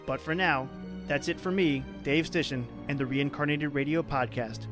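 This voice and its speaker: A male podcast host reads a scripted outro in a lively, announcer-like tone, his voice fairly high. Music plays clearly behind him. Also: normal speed. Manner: upbeat, announcer-like.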